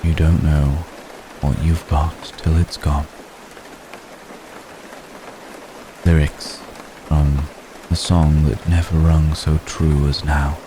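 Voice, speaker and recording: A man playing a centuries-old vampire gentleman in an intimate ASMR roleplay, tender, protective and world-weary, speaking formally and gently with long pauses. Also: a bass voice. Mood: tender, confessional. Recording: steady rain noise behind voice. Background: rain falling.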